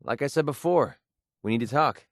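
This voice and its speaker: An actor playing a guarded, wry and short-tempered young mercenary with a tender side in a video game, speaking informally. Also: male voice, mid-range voice, animated, dry recording, studio quality, close to an everyday voice. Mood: earnest, hopeful. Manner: informal, halting when emotional. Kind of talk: scripted video game character dialogue.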